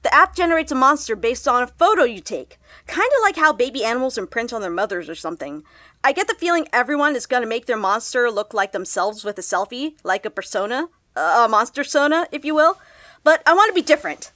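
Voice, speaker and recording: A woman playing an excitable young female vlogger in an audio drama, chatting in a gushing, giggly way. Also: a little high, brisk, dry recording, studio quality. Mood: eager, chatty. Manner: gushing, giggly, swooping into squeals. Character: bubbly, excitable young female vlogger and monster-game fan. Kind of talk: audio drama acting as a chatty livestyle vlog.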